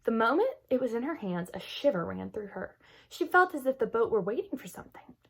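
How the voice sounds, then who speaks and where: A woman reading a children's chapter book aloud as an expressive storyteller. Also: on the high side, brisk, creaky, husky.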